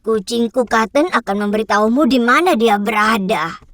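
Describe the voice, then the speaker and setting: A woman playing a kindly old witch in a children's cartoon, gentle and welcoming in manner. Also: on the high side, normal speed, dry recording, studio quality.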